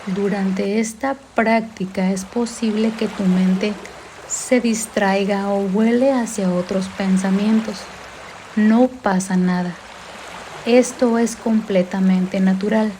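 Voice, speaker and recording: A woman narrating a guided mindfulness meditation in a calm, gentle, soothing way, giving unhurried instruction. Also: mid-range voice, slight background noise. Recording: clear water and sea ambience behind. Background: sea sounds and background music.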